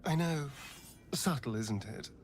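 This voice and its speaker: An actor playing a sly, brooding vampire spawn rogue in a fantasy video game, with a smooth, sardonic delivery. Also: male voice, fairly high pitch, lively intonation, slow, soft background music. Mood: scheming. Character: wry pale vampire spawn rogue man, sly and haunted.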